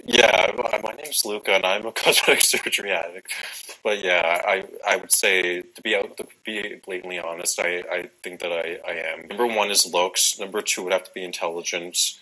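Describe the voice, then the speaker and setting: A young man in an archive TV interview and audition, talking in a vain, halting way that is full of "like". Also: deep voice, fairly even intonation, sharp tone, low-quality recording. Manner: vain, filler-heavy, halting with lots of "like". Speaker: casting contestant.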